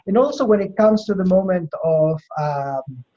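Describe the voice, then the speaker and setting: A man's voice: high voice, lively intonation, normal speed, warm tone. Chilean-accented man in dull lo-fi audio. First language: Spanish. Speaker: university administrator being interviewed. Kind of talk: interview answers, explanatory.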